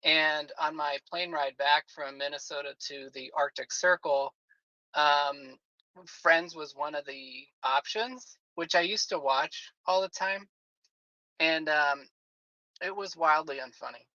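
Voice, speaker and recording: Bright-voiced man in his forties, lo-fi. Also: a little high, slow, no echo. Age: 40s. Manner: rambling, many "like" fillers. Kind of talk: casual chat.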